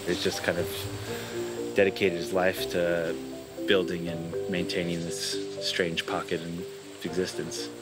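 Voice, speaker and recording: A young man with a very low voice speaks thoughtfully in a flat tone. He is interviewed outdoors among trees. Loud music and crickets sound behind him. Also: a little slow. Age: young adult. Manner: thoughtful, with fillers. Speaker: resident artist.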